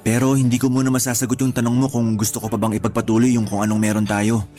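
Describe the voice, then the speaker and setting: Actor as courteous, earnest male date, measured radio drama delivery, average pitch, light music bed. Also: flat delivery, dark tone, natural voice. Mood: serious. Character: polite, mostly serious man on a first date. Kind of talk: Tagalog radio drama dialogue. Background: background music.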